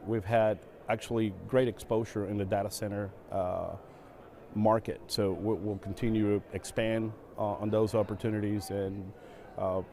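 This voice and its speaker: Middle-aged Venezuelan man, Spanish native, fairly low and warm voice, relaxed conference-stage interviewee with lots of fillers. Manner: relaxed, with many fillers and repeated words. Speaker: guest, operations executive.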